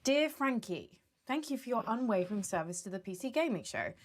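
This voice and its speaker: A woman reads lines in a scripted comedy sketch, in a high voice with a lively, bouncing pitch. Clear music plays under her. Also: brisk.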